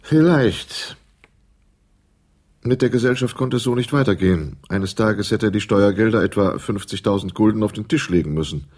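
An actor as a thoughtful male witness in a crime radio play. His deep voice is breathy, and he answers in deliberate, short phrases. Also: a little mellow, close to an everyday voice. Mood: reflective. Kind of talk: radio drama acting.

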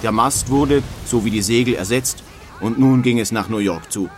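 A man's voice: mid-range voice, moderate pace, noisy background, close to an everyday voice. An actor playing an earnest nineteenth-century emigrant, reading his letters home in a dramatized style. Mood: grave, earnest. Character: earnest male 19th-century German emigrant writing home.

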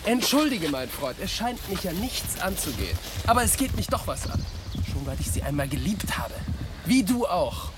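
An excitable, blunt gentleman adventurer in a radio drama. His highish voice leaps around theatrically as he talks, with clear background noise behind him. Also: normal speed. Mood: probing. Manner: chatty, emphatic, theatrical. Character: blunt, excitable, hearty adventurer, a loyal male friend.

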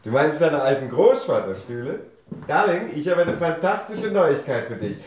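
An actor playing an evasive, sweet-talking young husband in a radio drama, with a smooth, cajoling manner and speech. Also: male voice, on the high side, sing-song, normal speed, a little mellow, recorded from a distance. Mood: excited, affectionate. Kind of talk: German radio drama acting. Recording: echoey room, off mic, faint noise.

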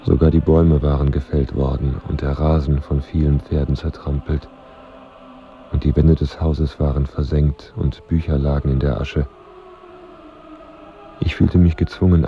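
Radio drama knight: bass-deep, solemn, laconic, slightly muffled sound. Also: male voice, slight background noise. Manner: measured, solemn, deadpan. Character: grave, laconic wandering knight recounting the ruins of war. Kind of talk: literary radio drama narration.

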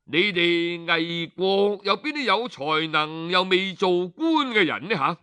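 Guy doing a courteous envoy in an old chinese tale, eager, formal, slow clear delivery. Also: high-pitched, animated, bright, forceful tone, no room sound, full character voice. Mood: inquiring. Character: eager, courteous male Qin envoy of ancient China.

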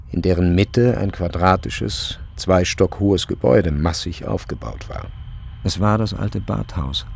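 A man narrating a literary radio drama from a script, with measured and deliberate phrasing. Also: low voice, vocal fry, darkish tone, slight background noise.

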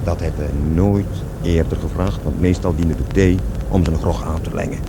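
A man's voice: deep voice, steady tone, moderate pace, very noisy, natural voice. An actor playing a defiant young man who recalls his schooldays and his father in colloquial, scornful slang for a radio drama. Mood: reflective. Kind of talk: radio drama acting, animated storytelling.

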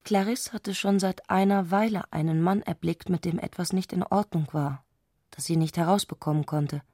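Woman with a lowish voice reading a novel for a radio drama, very dry close recording. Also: fairly even intonation, moderate pace, warm tone, dry recording. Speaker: narrator. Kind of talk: scripted literary narration in a radio play.

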